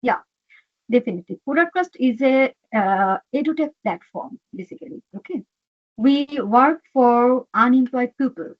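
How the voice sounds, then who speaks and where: Halting Bangladeshi woman, dull line. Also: fairly high pitch, expressive. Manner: earnest, halting, frequent fillers and "okay". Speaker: HR executive, guest. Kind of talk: interview answers.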